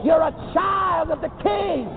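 A man with a very high voice preaches hard, shouting his lines and calling the congregation to repeat after him. It comes through a thin, tinny recording, with a loud crowd and noise all around. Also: measured pace. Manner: shouting, call-and-response preaching. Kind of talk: sermon. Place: church.

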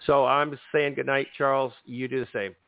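A man hosting a casual sports podcast, hoarse and losing his voice, often repeating words as he starts a sentence. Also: mid-range voice, flat delivery, moderate pace, no echo. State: losing his voice, sore throat. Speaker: podcast host. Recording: dry tinny phone-like line.

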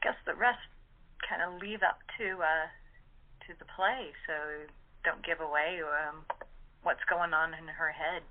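Telephone sound, female guest, fairly low voice, hesitant casual chat. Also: measured pace. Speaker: guest voice actor in radio plays. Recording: narrow, tinny phone line.

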